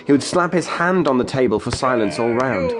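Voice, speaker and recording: An actor narrating as a grown man recalling his boyhood, in a measured, literate storytelling style for an audio drama. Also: medium pitch, expressive, a crowd in the background, lightly acted. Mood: grave, vivid. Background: music.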